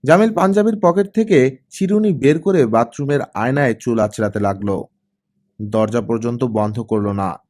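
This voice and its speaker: A man's voice: mid-range voice, moderate pace, a little mellow. A man reading a scripted story as an audiobook narrator.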